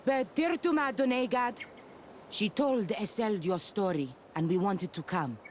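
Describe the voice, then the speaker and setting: Woman playing clan warrior, earnest, direct, mid-pitched, resolute, faint ambient noise, video game. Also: lively intonation, measured pace, lightly acted. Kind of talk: scripted video game acting.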